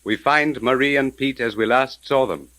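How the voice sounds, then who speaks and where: A man's voice: medium pitch, moderate pace, sharp tone, lightly acted, rough recording. A man narrating as the formal, theatrical announcer of a 1930s radio mystery serial, with a declamatory delivery. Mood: dramatic, suspenseful. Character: dramatic male radio mystery announcer recapping the story.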